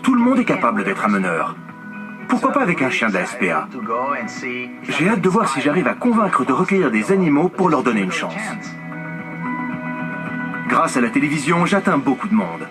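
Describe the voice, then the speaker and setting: A man's voice: mid-range voice, lightly acted. A middle-aged man dubbing a documentary subject in an earnest, heartfelt voice-over. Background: music.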